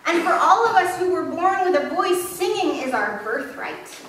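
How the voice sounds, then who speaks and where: High bright woman preaching in echoey church. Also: very animated, moderate pace, distant mic, slight background noise. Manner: candid, self-deprecating storytelling. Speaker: pastor. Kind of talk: sermon. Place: church sanctuary.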